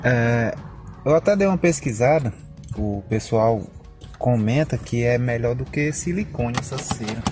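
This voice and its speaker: A man's voice: medium pitch, lively intonation, measured pace, music in the background. A Brazilian man in his thirties chatting casually in a parked car outdoors while cleaning it, explaining each step. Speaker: vlogger. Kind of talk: casual vlog chat.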